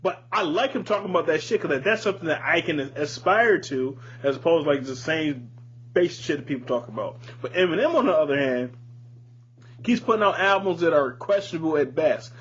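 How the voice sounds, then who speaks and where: A man's voice: fairly high pitch, animated, a little fast, slightly rough. A man co-hosting a podcast, chatting casually with blunt, profane opinions. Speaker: podcast co-host. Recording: dull lo-fi audio with hum and noise.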